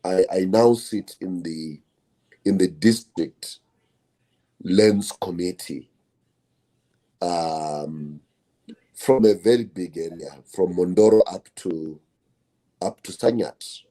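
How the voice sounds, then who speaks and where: A man speaking as a guest on a video call, halting and repeating words as he explains. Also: low-pitched, warm tone. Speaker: guest panelist.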